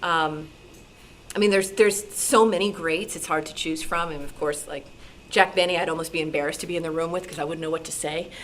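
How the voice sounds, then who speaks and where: A woman in a casual group discussion, thinking aloud thoughtfully with pauses. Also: sits low, fairly even intonation, a bit bright, slight background noise. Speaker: club discussion participant.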